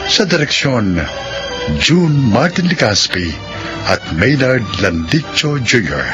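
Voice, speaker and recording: A man introduces a radio drama with a Filipino accent and a formal scripted read. His mid-pitched voice moves up and down a lot. Music plays clearly behind him. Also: sing-song, slow, highs cut off.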